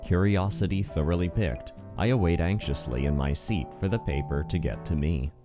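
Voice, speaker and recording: Very deep-voiced man narrating. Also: a little fast, over music. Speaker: narrator and voice actor. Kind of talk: scripted first-person visual novel narration.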